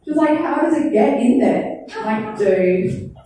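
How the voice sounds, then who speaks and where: A woman's voice: high voice, lively intonation, measured pace, background noise. A woman doing a rambling, crude, self-deprecating stand-up set, often laughing at her own jokes. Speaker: stand-up comedian. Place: small comedy club stage. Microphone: handheld stage mic, filmed from the audience. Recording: distant, echoey club room with faint ambience.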